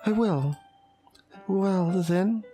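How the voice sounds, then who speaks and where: A woman's voice: mid-range voice, very slow, mellow, faint music behind. A woman voicing a shy, polite, bashful anime schoolgirl with a hesitant stammer, in a visual novel. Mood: bashful, tender. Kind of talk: visual novel character voice-over.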